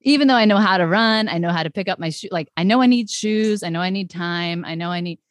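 A middle-aged woman with a fairly low voice talks animatedly as a podcast guest. She explains ideas through analogies and laughs often. The recording is close and dry. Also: fast, no echo. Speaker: podcast guest, brand strategist. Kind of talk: casual interview chat.

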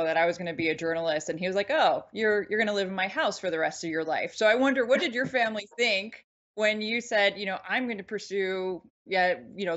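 A woman's voice: medium pitch, a bit bright, muffled recording. A woman reporter hosting an interview over a video call, asking friendly, conversational questions. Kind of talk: hosted interview.

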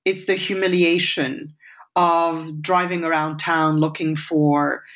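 Here is a woman in her forties from Lebanon, answering interview questions in a thoughtful, measured way. Her voice sits a little low for a woman. She often pauses on fillers and restarts her sentences. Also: little pitch movement, a bit bright. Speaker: interview guest.

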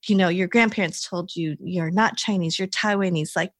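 A middle-aged woman hosts a casual podcast chat, gushing and halting, circling back with likes and restarts. Her voice sits close and dry. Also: on the low side, dry recording. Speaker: podcast host.

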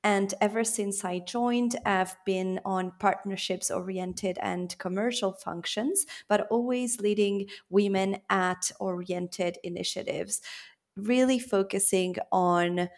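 A woman guest in a podcast interview, speaking in a measured, earnest way about her work. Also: medium pitch. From born in Switzerland, raised in Belgium. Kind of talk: interview answers.

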